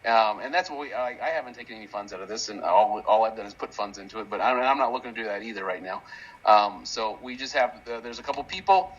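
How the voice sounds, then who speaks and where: A man hosting a casual online Q&A, speaking haltingly and rambling, often restarting his sentences mid-thought. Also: on the low side, expressive, slightly rough, lo-fi sound. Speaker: host of a crypto project community audio call. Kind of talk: casual unscripted Q&A chat. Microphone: online audio call.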